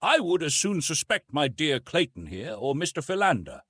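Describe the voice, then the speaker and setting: Man putting on a high, fussy old professor. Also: big pitch swings, moderate pace, a bit bright, slightly rough, no echo, studio quality, heavily acted. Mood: gracious. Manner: fussy, repetitive, pompous. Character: fussy, absent-minded old male professor, excitable. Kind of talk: dramatised audiobook reading.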